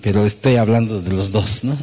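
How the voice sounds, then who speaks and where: A man's voice: fairly low pitch, moderate pace, breathy edge. Male pastor preaching in an echoey hall. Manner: rhetorical questions and tag questions to listeners; acts out quoted dialogue. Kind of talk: conversational sermon with anecdotes. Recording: echoey hall with long reverb.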